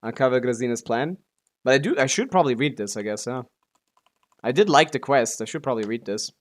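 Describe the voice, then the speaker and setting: A man streams a video game with casual running commentary. His voice sits at an ordinary middle pitch. He talks offhandedly, half to himself, and swears freely. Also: brisk. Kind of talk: casual live gameplay commentary.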